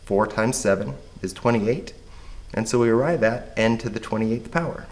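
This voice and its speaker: Hoarse man teaching math. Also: fairly low pitch, moderate pace. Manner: patient step-by-step explaining. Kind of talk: recorded math lesson.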